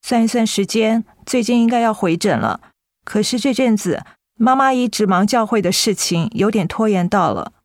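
An actress playing a concerned, attentive grown daughter in a radio drama, polite and earnest. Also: female voice, mid-range voice, moderate pace, no room sound, clean studio sound, lightly acted.

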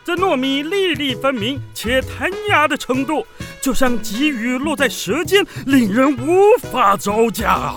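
A pompous ancient poet in a children's radio drama. The delivery is grandiloquent and slow, swinging wildly in pitch, with a bright, forceful edge. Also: very animated, soft background music, heavily acted. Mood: savoring, rapturous. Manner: theatrical, grandiloquent, savoring. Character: Qu Yuan, pompous ancient poet serving as food judge.

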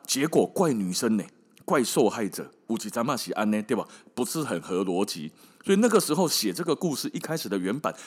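A man's voice: medium pitch, slightly rough. A man with a Taiwanese Mandarin accent telling stories as a podcast host in a casual, colloquial, wry and emphatic way, often tossing in rhetorical questions. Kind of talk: casual storytelling monologue.

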